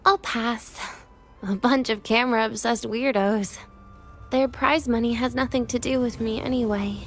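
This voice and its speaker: A woman voicing an aloof young female student with dry, deadpan humor in a video game. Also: a little high, a little slow, faint music behind. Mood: dismissive. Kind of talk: English video game voice acting.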